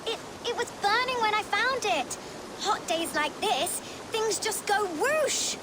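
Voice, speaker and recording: Brief: brash young street thief girl, very high childlike voice, slangy taunts, game cutscene over noisy ambience. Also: expressive, slow pace, exaggerated voice. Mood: evasive. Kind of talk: video game cutscene acting.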